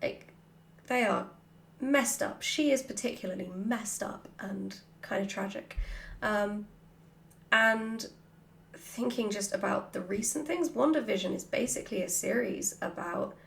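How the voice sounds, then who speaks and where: A woman vlogger answering questions off the cuff in an animated, informal, jokey way. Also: mid-range voice, unhurried, gravelly. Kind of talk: casual chatty Q&A, off the cuff. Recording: roomy, echoey sound.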